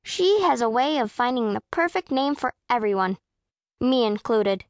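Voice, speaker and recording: A woman's voice: on the high side, expressive, normal speed, dry recording, clean studio sound. A woman voicing a proud, self-possessed eleven-year-old girl who narrates a children's audiobook in a precise, composed, matter-of-fact way. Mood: composed, wry. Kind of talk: first-person audiobook narration of children's fiction.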